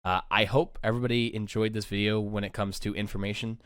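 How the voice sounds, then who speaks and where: A young man talks to his viewers in a low, clean, smooth voice. His delivery is subdued and close to monotone, weighed down by sadness. The sound is dry and close, with no room around it. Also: fast talker, dry recording. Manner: subdued, low-energy, self-described monotone. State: sad, downcast. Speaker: YouTuber and pro gamer. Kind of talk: casual vlog talk to camera.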